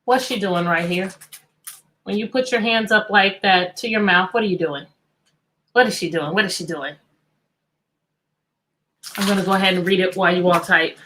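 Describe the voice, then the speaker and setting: A woman's voice: medium pitch, moderate pace, pauses often, fairly bright tone, a little hiss or hum, poor audio. A woman teacher hosting an interactive children's read-aloud, telling the story expressively and putting repeated questions to her listeners.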